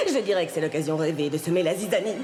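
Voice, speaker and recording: A woman voicing a gleeful, scheming mischief-maker in a French cartoon, with a cackling, gloating delivery. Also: on the low side. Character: gleeful scheming mischief-maker who loves sowing discord. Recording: loud music underneath.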